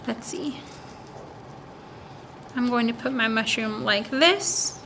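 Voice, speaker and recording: A woman speaking as a children's librarian, reading aloud and explaining things to young children in an animated way, often asking questions and answering them herself. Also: fairly high pitch, takes it slowly, a little hiss or hum, low-quality recording. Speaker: children's librarian hosting story time. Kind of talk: read-aloud and craft instruction for young children.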